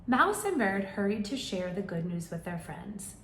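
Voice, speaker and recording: A woman's voice: mid-range voice, sing-song, moderate pace, roomy sound, slight background noise. A woman doing a read-aloud for young children, explaining simply and prompting them with questions.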